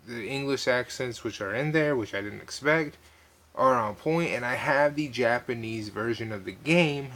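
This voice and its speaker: A man giving a casual, opinionated rant as a gaming vlogger, speaking emphatically and haltingly, repeating himself and swearing casually. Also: mid-range voice, fairly bright tone. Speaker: video game vlogger.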